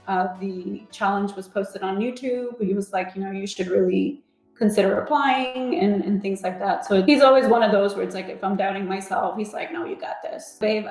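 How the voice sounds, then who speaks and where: A woman's voice: medium pitch, darkish tone. Chatty woman in her thirties, roomy sound. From Los Angeles, USA. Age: 30s. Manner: chatty, leans on "like" and "you know". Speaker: competition contestant. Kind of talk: casual interview talk.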